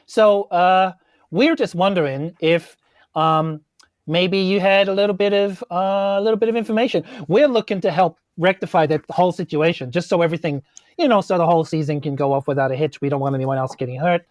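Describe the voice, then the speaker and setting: Kiwi woman, lowish voice, rambling casual tabletop player, dry sound. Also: fairly quick, darkish tone, no room sound. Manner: hesitant, rambling. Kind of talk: casual in-character improvised chat.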